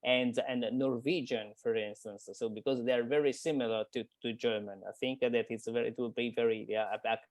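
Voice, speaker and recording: Halting Italian man on a video call. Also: mid-range voice, steady tone, no room sound. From Italy. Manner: halting, many repeated words. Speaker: participant. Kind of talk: group discussion on a video call.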